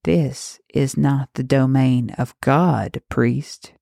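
A woman's voice: a bass voice, gravelly, mellow, dry recording, studio quality, full character voice. A woman voicing a mocking vengeful witch. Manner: mocking, hissing, slow and deliberate.

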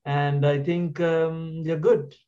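Earnest man from Nepal, fairly high voice. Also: little pitch movement, slow, dark tone. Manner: plain, earnest advice with fillers. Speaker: internal medicine resident. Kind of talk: podcast interview. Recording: dull lo-fi sound.

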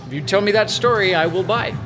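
Male interviewer for a business chat, reflective delivery, lighter-pitched voice, music underneath. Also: fast talker, crowd noise.

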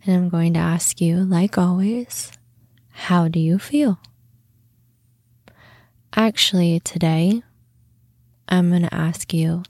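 A woman hosting a guided meditation, speaking in a soothing, unhurried manner with long pauses and softly breathed phrase endings. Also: fairly low pitch, no room sound, studio quality. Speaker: podcast host and meditation guide.

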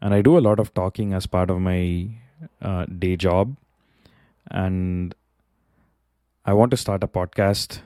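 A man whose first language is not English, hosting a solo podcast and thinking aloud with many pauses and filler words. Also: sits low, steady tone, mellow, no echo, clean studio sound. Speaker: podcast host. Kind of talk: solo reflective podcast monologue. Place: Bay Area, California.

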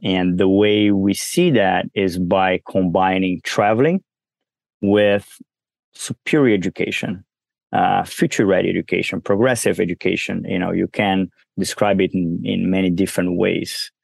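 A man's voice: deep voice, fairly even intonation, normal speed, gravelly, no echo. A man from Brazil with a Brazilian accent tinged with French, talking casually as an interview guest, with many fillers and restarts. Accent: Brazilian with some French. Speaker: guest entrepreneur.

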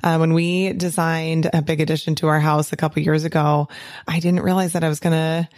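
A woman hosting a podcast from a small home office, chatting casually and laughing often, her voice a little hoarse from a cold. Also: deep voice, level tone, fairly quick, darkish tone, no echo, studio quality. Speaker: podcast host.

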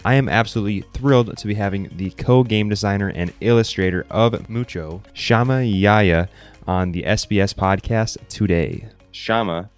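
A man's voice: lowish voice, moderate pace, faint music behind. A man hosting a podcast, asking his guest friendly, encouraging questions. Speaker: podcast host. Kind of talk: podcast interview.

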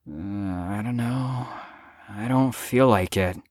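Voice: mid-range voice, takes it slowly, a little mellow, dry recording, studio quality. An actor in an audio drama playing a stubborn, sarcastic young patient who is worn out from lack of sleep. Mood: tired, flippant. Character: flippant, exhausted, stubborn young patient called Storm.

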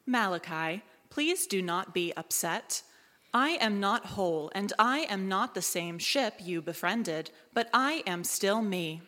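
Bright, echoey woman as a calm ship computer. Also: mid-range voice. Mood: gently reassuring. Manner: measured, formal, deliberate. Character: female ship computer, calm, literal, gently caring AI. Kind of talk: live audio drama performance. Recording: echoey live hall, reverberant.

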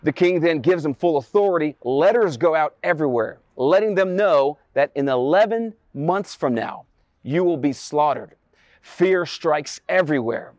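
Guy preaching, kinda high voice, acts out the story, repeats stuff for emphasis. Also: lively intonation, normal speed, a little mellow, no echo. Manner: rhetorical questions and emphatic repetition. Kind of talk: sermon with dramatized retelling of Bible story.

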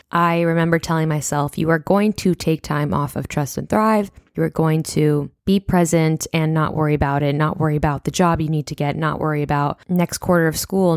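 A woman's voice: low-pitched, darkish tone, no room sound, clean studio sound. A young woman in her twenties hosting her own podcast, talking in a reflective, chatty way and sounding congested. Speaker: podcast host. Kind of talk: solo podcast talk.

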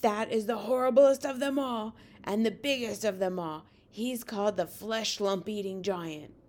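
Woman putting on a gentle, folksy giant man. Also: mid-range voice, measured pace, natural voice. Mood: nervous hushed urgency. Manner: mangled folksy grammar, earnest. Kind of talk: storybook read-aloud character voice.

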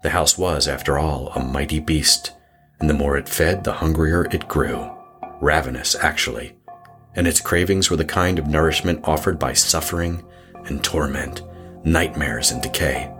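A man narrating a horror story in an ominous, deliberate, literary manner. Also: extremely low pitch. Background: music.

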